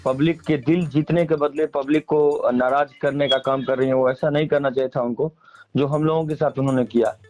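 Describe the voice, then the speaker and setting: A man's voice: mid-range voice, fairly even intonation, a little fast, music in the background, poor audio. A man with a Bihari accent speaking casually as an interviewee, emphatically airing grievances in colloquial, repetitive phrasing. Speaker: interviewee, singer and stage performer.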